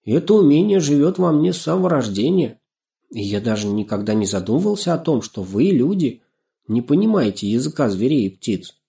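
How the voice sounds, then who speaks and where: A man voicing a tiny, friendly, gentle fairy-tale little man who speaks earnestly and thoughtfully. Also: mid-range voice, creaky, pitched above the performer's own voice. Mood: surprised, earnest.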